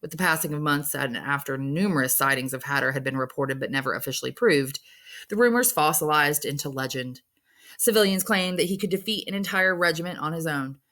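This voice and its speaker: Low-voiced woman reading a novel aloud. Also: fairly bright tone. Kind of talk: storybook narration, read aloud.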